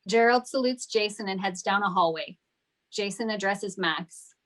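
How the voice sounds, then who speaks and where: A woman's voice: mid-range voice, normal speed, fairly bright tone. A woman narrating scripted stage directions at a table read.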